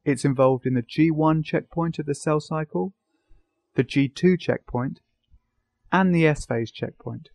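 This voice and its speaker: A man's voice: fairly high pitch, measured pace, gravelly, darkish tone, no room sound. A man narrating a science video from a script as interviewer and presenter.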